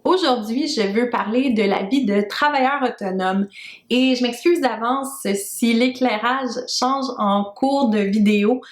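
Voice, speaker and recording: A woman's voice: fairly high pitch, a little slow, reverberant. A woman in her thirties with a Québécois French accent, chatting frankly to camera in a casual vlog, with plenty of fillers. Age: 30s. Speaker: freelancer vlogger. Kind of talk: casual talking-head vlog. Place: home room with makeshift lighting.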